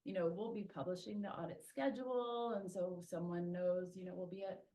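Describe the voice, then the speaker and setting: A woman's voice: lowish voice, fairly even intonation, normal speed, dark tone. A woman answering questions at a community meeting, thinking aloud with many ums and restarts. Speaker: school traffic safety organizer.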